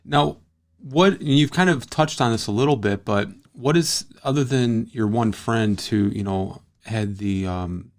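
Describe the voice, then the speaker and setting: Male host, low voice, affirming interviewer. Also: unhurried. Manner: affirming, brief acknowledgments.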